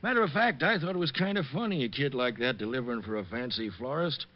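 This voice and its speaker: A man's voice: medium pitch, animated, fast, natural voice. An actor playing a plain-spoken, folksy theater doorman in an old radio drama, talking in a laconic way. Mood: easygoing, matter-of-fact. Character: folksy, plain-spoken male stage doorman called Pop. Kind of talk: 1940s-50s radio drama acting. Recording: dull old radio recording.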